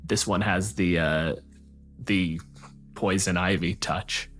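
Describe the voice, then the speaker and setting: A low male voice in a relaxed podcast-style conversation. He reasons things out with dry, argumentative delivery, with music underneath. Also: slow. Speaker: podcast co-host discussing tabletop game monsters. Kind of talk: casual panel discussion.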